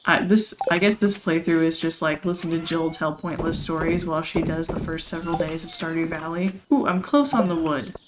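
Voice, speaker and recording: A chatty woman, somewhat hoarse from losing her voice, rambling through casual gameplay commentary with frequent laughs. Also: low-pitched, fairly quick, few pauses, background noise. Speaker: let's play host. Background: game music.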